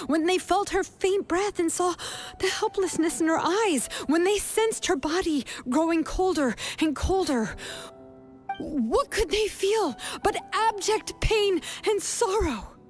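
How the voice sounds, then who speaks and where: A woman's voice: extremely high pitch, expressive, a little slow, soft background music. A woman voicing a stern but compassionate young craftswoman, speaking earnestly and solemnly in a dramatic video game scene. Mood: stern, pained.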